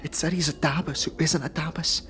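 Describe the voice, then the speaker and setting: Woman acting a low-voiced, frightened fantasy heroine. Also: steady tone, fairly quick, fairly bright tone. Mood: fearful, hushed. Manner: folksy dialect, tacks on "so it won't". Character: frightened, sharp-tongued young woman companion in fantasy tale. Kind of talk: fantasy audio drama acting.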